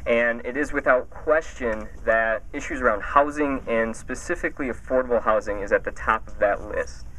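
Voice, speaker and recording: A man, a nonprofit director, giving informative public remarks outdoors, measured and emphatic on figures. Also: medium pitch, bright, forceful tone, slight background noise, low-quality recording. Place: outdoors at a house build site.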